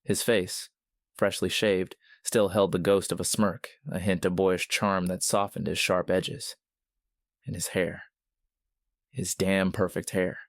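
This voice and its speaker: A man narrating a scripted story in a measured, literary manner. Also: sits low, level tone, no echo, studio quality.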